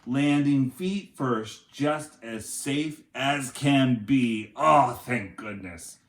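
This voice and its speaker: A man reading a picture book aloud to young children like a teacher, in an expressive, dramatic way with chatty asides. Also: mid-range voice, extremely slow, roomy sound.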